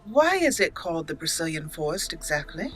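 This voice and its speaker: A woman hosting a game playthrough, reading her character's lines aloud with deliberate, theatrical, questioning phrasing. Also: deep voice, sing-song, a little hiss or hum. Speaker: gaming channel host, role-playing her character.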